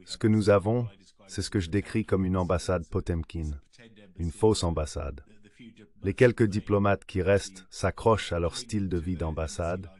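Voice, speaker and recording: This is a man's voice, clearly synthetic and very low in pitch. It delivers a scripted translation voice-over for an interview guest. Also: moderate pace, a little mellow.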